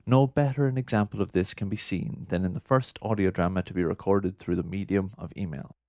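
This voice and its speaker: A man's voice: deep voice, fairly quick, warm tone, no echo, studio quality. A man reading scripted narration for a documentary.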